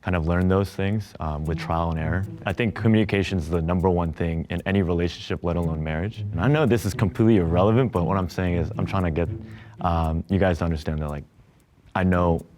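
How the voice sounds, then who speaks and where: A man's voice: low voice, fast talker, a little mellow. A man in his twenties speaking earnestly and insistently in a casual panel chat. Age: 20s. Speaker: panel contestant.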